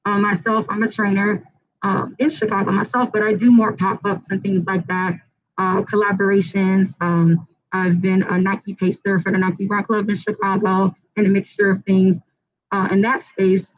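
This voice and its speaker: A woman with a hoarse, raspy voice hosts a live fitness panel in an upbeat, chatty way, with plenty of fillers. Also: medium pitch, little pitch movement, normal speed, slight background noise. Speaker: panel host and fitness trainer. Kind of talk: casual live panel moderation. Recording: dull lo-fi recording.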